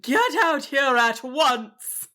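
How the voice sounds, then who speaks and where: A woman voicing a big, kind but fearsome female dragon in a storybook, drawn out and booming. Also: lively intonation, slow, a bit bright, exaggerated voice. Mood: commanding.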